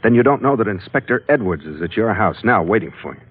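A man's voice: lowish voice, lively intonation, fast, natural voice. An actor playing a tough, wry sea captain who narrates his own adventures in hard-boiled 1940s radio style. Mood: cool, tough. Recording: narrow old radio broadcast recording.

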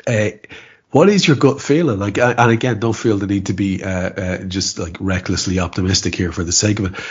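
Congested man, low husky voice. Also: fairly quick, dull audio. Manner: hesitant, repeats words and fills with uh. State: congested with a cold. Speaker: podcast co-host. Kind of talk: casual football podcast chat.

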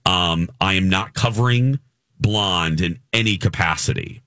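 A man co-hosting a casual morning radio show, talking in an animated way that swoops up high when he gets emphatic. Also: measured pace, no room sound, clean studio sound.

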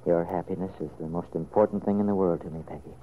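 A man playing a devoted, gentle confidant, speaking earnestly in old-time radio soap opera style. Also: low voice, steady tone, fast, phone-quality sound, natural voice. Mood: devoted, caring. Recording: thin, hissy old radio recording.

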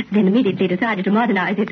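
A woman's voice: mid-range voice, fairly even intonation, very fast, natural voice. An actress playing a gracious, well-bred young woman with a polite, refined manner in an old radio drama. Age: young adult. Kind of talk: 1930s radio drama acting. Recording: muffled, faintly noisy old radio.

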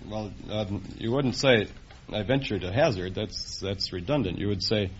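Low-voiced, polite, precise American man. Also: moderate pace. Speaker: English professor, contestant. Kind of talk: careful conversational answers. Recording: muffled, slightly noisy vintage radio recording.

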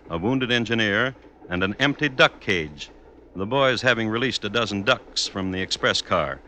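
A man's voice: medium pitch, sharp tone, slight background noise. A man narrating a scripted radio western as a wry storyteller.

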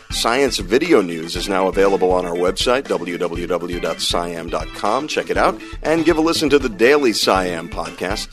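An American man hosting a science podcast in a conversational, wry way with dry humor. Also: lowish voice. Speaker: podcast host.

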